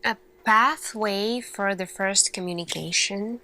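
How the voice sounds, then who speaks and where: Lady doing asmr scifi roleplay as a thoughtful scientist, really really slow, crisp bright voice, lots of pauses. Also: mid-range voice, rough recording. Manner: slow, deliberate, pausing, questioning delivery. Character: earnest, thoughtful female lead scientist addressing her team.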